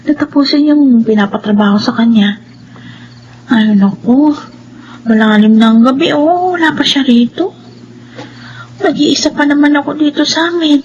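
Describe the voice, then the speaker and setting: A very high female voice of an actress plays a nervous wife in a radio horror drama. She is fretful and melodramatic, full of exclamations. There is faint noise behind her. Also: muffled recording, lightly acted. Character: anxious wife home alone at night, easily terrified.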